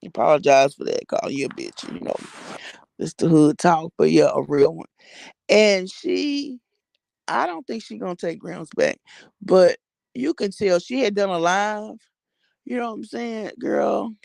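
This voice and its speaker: A woman's voice: mid-range voice, measured pace, gravelly, darkish tone, dry recording. An American woman giving casual gossip commentary, blunt and slangy with plenty of swearing, repeating phrases for emphasis. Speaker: vlogger commenting on social media personalities.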